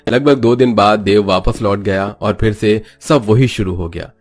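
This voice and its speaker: A man's voice: lowish voice, normal speed. A man narrating a scripted moral story as a storyteller. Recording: music bed behind voice.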